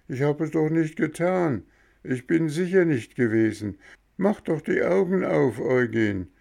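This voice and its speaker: A man voicing a frightened, stubborn wooden puppet boy, wailing and pleading in a high, strained voice. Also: vocal fry, a little mellow.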